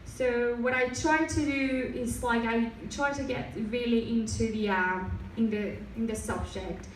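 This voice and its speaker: A young woman from Mexico, a native Spanish speaker with a Mexican accent softened by Australian years, giving a casual design talk full of fillers and restarts. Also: a little high, steady tone, unhurried. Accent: Mexican, with some Australian. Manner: many fillers and restarts, loose and chatty. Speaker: design presenter. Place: event room. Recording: roomy, distant, faint noise.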